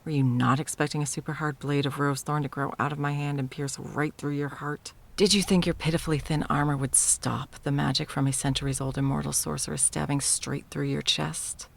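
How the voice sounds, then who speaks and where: A woman's voice: sits low, fairly even intonation, fairly quick, few pauses. A woman acting an arrogant, centuries-old immortal sorceress tyrant in an ASMR roleplay, teasing and theatrical, at times slipping into whispers. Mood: gloating, cruel. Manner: mocking, purring, theatrical, slipping into breathy whispers.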